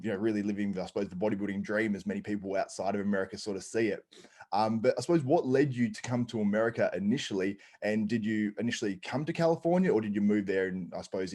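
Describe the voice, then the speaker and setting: A man's voice: on the low side, darkish tone. A man hosting an interview show, asking long rambling questions full of hedges. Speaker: interview host. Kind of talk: podcast interview.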